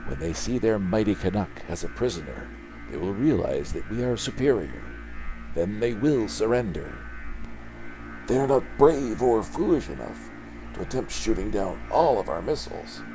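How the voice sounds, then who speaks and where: A zealous comic book villain, played by a man reading aloud, cold and declamatory. The commander's menacing speech is gravelly and swings in pitch, with faint music behind. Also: very animated, normal speed, dark tone, poor audio.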